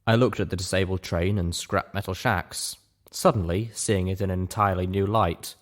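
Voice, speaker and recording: A man's voice: low-pitched, fairly even intonation, normal speed. A man reading first-person fiction aloud as an audiobook narrator.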